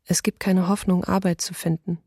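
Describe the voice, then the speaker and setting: A weary woman diarist in a wartime radio documentary drama. Her delivery is monotone and understated. The voice is husky with fry, recorded very dry. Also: lowish voice, normal speed, no echo. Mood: weary, matter-of-fact. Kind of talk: documentary radio play, diary reading.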